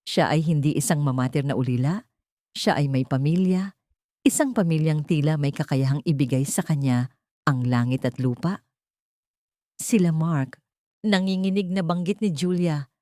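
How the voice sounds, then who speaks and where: A woman narrating a dramatic audiobook story in a steady, even way. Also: sits low, no echo, studio quality.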